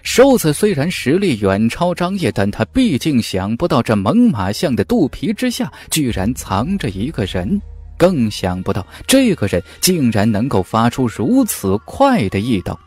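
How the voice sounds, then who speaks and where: Man, audiobook narrator, lively swooping delivery, dramatic telling, faint music underneath. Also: medium pitch, normal speed, breathy edge. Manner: animated, dramatic storytelling. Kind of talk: dramatic fantasy novel narration.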